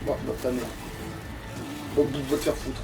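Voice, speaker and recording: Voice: medium pitch, flat delivery, moderate pace, gravelly, recorded from a distance, over music. An exasperated video game player chatting casually and swearing heavily during a let's play. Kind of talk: casual let's play chat while playing.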